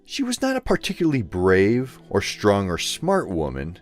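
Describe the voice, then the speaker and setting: A man narrates a scripted story in a low voice. His delivery is expressive and swings widely in pitch. Faint music plays behind the narration. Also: moderate pace.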